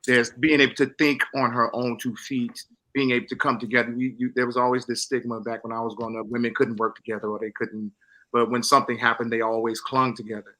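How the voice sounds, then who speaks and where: Stage actor, male, middle-aged, mid-range and bright, earnest and emphatic, giving a promotional interview. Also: mid-range voice, flat delivery, fast talker, rough recording.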